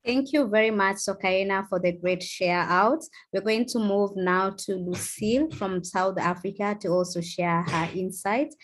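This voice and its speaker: A woman's voice: medium pitch, a little slow, few pauses. A woman moderating an online event, giving brief, polite introductions between speakers.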